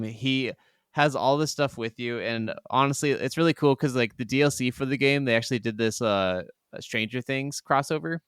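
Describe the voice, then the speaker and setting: A man's voice: mid-range voice, clean tone, no echo, clean studio sound. A man co-hosting a podcast, chatting casually and enthusiastically about video games and laughing easily. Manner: enthusiastic, gushing. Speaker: podcast co-host.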